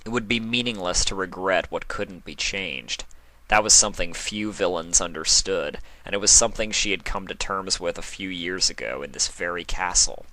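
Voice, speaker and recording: A man reading fan fiction aloud as narrator, in an expressive scripted storytelling style. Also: sits low, normal speed, rough recording.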